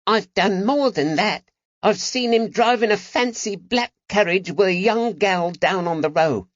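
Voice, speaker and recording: A man voicing a cheeky, eager young street urchin with a high-pitched voice and slangy talk, in an audiobook. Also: unhurried, no echo, highs cut off. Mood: proud, chatty. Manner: colloquial street slang, bargaining.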